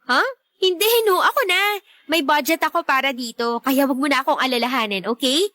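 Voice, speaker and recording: A woman playing a caring, assertive young girlfriend in a radio drama, with a breezy, expressive delivery. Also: high voice, brisk, no echo, clean studio sound. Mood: breezy, reassuring.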